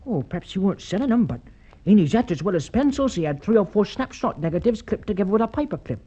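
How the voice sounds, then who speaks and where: High-voiced, husky, nervous young man. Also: sing-song, fast talker, mellow, lo-fi sound, full character voice. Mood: earnest. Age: young adult. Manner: hesitant, ungrammatical, protesting. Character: nervous, defensive working-class young man accused of theft. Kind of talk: Golden Age radio drama acting.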